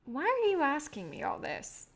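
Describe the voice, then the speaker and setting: A woman's voice: sits high, wildly swooping pitch, moderate pace, exaggerated voice. A woman playing a guarded, idealistic filmmaker, wry and self-deprecating, in a naturalistic staged play reading. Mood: wry, deflecting.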